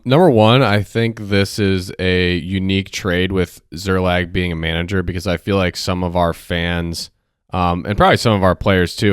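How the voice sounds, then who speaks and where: A man co-hosting a casual sports podcast, talking in a hesitant way with many pauses. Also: low-pitched, clear, resonant tone, no room sound, studio quality. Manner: hesitant, lots of ums. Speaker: podcast co-host.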